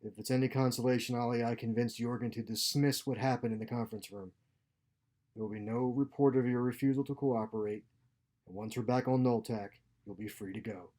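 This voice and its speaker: A man's voice: medium pitch, fairly even intonation, fairly quick, darkish tone, close to an everyday voice. A man voicing an uneasy, dutiful naval lieutenant, reluctant and conciliatory, in a story read aloud.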